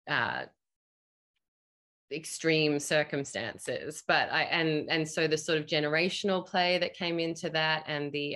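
A middle-aged woman with a low voice speaks as a theatre director in a video-call discussion. She thinks aloud as she goes, shaping her points in conversation. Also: sharp tone. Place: room at home.

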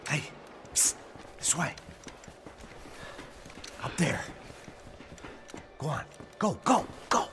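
An actor playing a gruff, defiant middle-aged tough guy in a video game, with an American accent. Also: a little high, very animated, very slow, long pauses, over music, heavily acted. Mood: tense. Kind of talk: video game cutscene dialogue.

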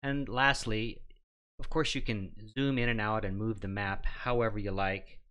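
A middle-aged man hosting a how-to show, walking through steps in a plain, instructional and somewhat halting way. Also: on the low side, fairly even intonation, a bit bright, clean tone. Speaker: host of a ham radio how-to show. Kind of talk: step-by-step tutorial narration.

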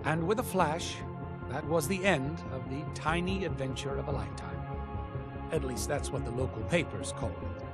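Eccentric game inventor, reflective epilogue narration, very lively intonation, prominent music. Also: male voice, on the high side, normal speed. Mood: reflective, satisfied. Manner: reflective, storytelling. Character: eccentric male inventor looking back on his adventure.

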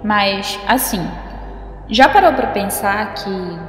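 A woman's voice: mid-range voice, lively intonation, slow, a little hiss or hum. A woman playing a defiant, sarcastic female hacker in a science-fiction audio drama, with a slangy, mocking delivery. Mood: taunting. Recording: echoey, long reverb, faint music.

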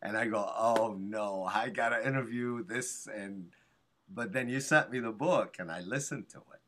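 A man's voice: lowish voice, moderate pace, noisy background. A middle-aged man hosting a casual video call interview from a small office, chatty and enthusiastic. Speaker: interview show host. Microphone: webcam on a video call.

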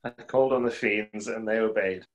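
A man's voice: fairly low pitch, moderate pace, lo-fi sound, close to an everyday voice. A young man playing a proud, remorseful conjurer in a play reading, earnest and dramatic. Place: small room, indoors.

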